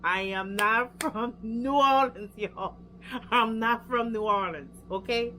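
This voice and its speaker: A woman's voice: fairly high pitch, takes it slowly, sharp tone, a little hiss or hum. A young woman with a Louisiana accent, casually reviewing hair products and chatting straight to her viewers with lots of fillers. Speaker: hair care vlogger.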